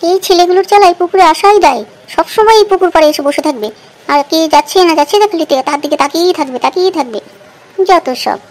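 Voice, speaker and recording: A woman's voice: very high-pitched, fast. A woman voicing a hot-tempered village sister-in-law in a comedy cartoon, shrilly scolding and cursing. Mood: grumbling. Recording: clearly noisy recording.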